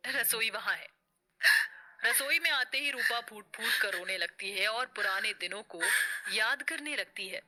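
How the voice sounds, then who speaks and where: A woman's voice: on the high side, lively intonation, moderate pace, pushed and bright. A woman narrating a scripted, melodramatic story as the narrator. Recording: music bed under the voice.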